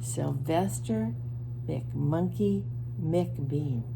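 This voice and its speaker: A woman reading a children's storybook aloud in an expressive, deliberate and emphatic way. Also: sits low, creaky, noisy background. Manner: deliberate, emphatic, pauses to show pictures. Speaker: storybook reader.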